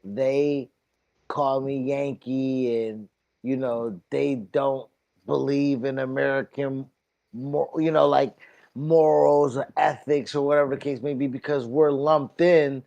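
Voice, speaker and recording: A man co-hosting a casual podcast chat, talking in a halting way and repeating himself as he searches for words. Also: medium pitch, fairly even intonation, gravelly, mellow, lo-fi sound. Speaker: podcast co-host.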